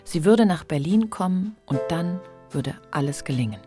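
A woman's voice: fairly low pitch, animated, normal speed, loud music behind the voice. A woman reading scripted literary narration as the narrator of a radio play.

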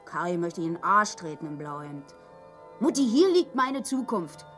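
An actress playing a brash, nagging middle-aged East Berlin housewife in a grotesque radio play, sharp-tongued and bickering. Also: female voice, sing-song, normal speed. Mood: bitter. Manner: sharp-tongued, bickering, swinging from hushed to shrill. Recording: music behind the voice.

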